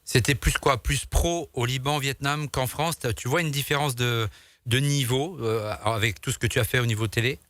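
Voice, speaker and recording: A man's voice: mid-range voice, normal speed, a bit bright, no room sound. A man hosting a talk show, asking questions in a casual, teasing way. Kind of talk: casual interviewing.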